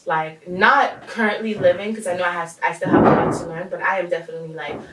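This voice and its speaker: A woman's voice: fairly low pitch, fairly even intonation, quick pace, roomy sound, recorded from a distance, heavy background noise. A woman from Haiti hosting a casual conversation, talking with plenty of fillers and frequent laughter. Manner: laughs often, many fillers.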